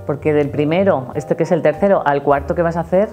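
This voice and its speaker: A woman's voice: low-pitched, quick pace, mellow, lo-fi sound, soft background music. A middle-aged woman with an accent from Spain, hosting a casual interview. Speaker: TV host. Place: small TV studio set, indoors.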